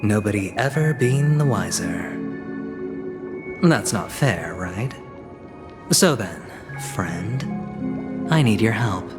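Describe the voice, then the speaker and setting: An actor playing a suave, scheming corporate negotiator and gambler in a video game, smooth, insinuating and theatrical. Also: male voice, lowish voice, lively intonation, slow, long pauses. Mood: smooth, persuasive. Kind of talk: video game voice acting, dramatic dialogue. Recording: long reverb, loud music behind. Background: music.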